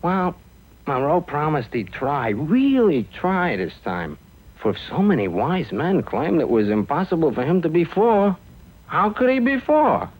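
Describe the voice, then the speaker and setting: A man's voice: a little high, very animated, unhurried, a little mellow, breathy edge. A man narrating a cartoon like a storyteller, on a noisy old film soundtrack.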